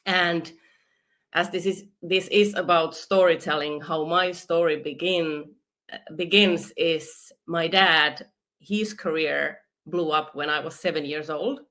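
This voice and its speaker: A woman's voice: sits low, fairly even intonation, slow pace, a bit bright. A woman from Finland with a Finnish accent, talking enthusiastically as an interview guest over a video call, with frequent restarts. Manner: enthusiastic, laughs often, restarts words. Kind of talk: conversational interview.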